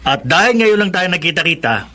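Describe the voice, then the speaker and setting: Friendly chatty young guy, filipino radio drama, raised pitch, busy crowd noise. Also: very animated, brisk. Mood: welcoming, chatty. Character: genial young man hosting his class reunion.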